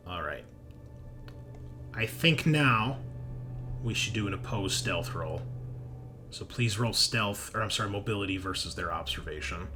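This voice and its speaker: A man narrating a tabletop horror game as game master, improvising in halting, suspenseful phrases. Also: lowish voice, lively intonation, over music.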